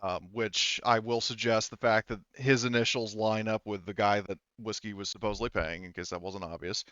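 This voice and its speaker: A man running a tabletop roleplaying game as game master, explaining casually and haltingly, often repeating and rephrasing himself. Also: lowish voice, steady tone, fairly bright tone, smooth, dry recording, dull audio.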